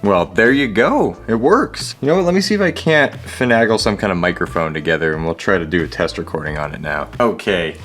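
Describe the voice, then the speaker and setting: A man's voice: low-pitched, expressive, brisk, few pauses, a bit bright, faint music behind. A man narrating a hands-on repair vlog casually from his garage, with a jokey, sarcastic manner and a habit of talking to objects. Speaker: host of a hobby repair video. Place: home garage.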